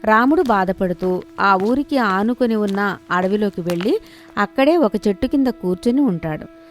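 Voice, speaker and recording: A woman's voice: medium pitch, animated, moderate pace. A woman reading a scripted moral story as its storyteller. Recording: music behind the voice.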